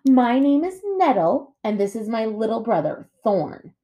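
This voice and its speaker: A woman's voice: a little high, very animated, unhurried, dark tone, voice pushed up. A woman voicing a young sister bear in a storybook, bossy and menacing, with drawling sarcasm. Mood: mock-polite menace.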